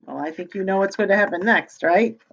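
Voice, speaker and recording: A woman reading a storybook aloud like a teacher, pausing to ask children questions and answer them. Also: mid-range voice, vocal fry, highs cut off, slight background noise.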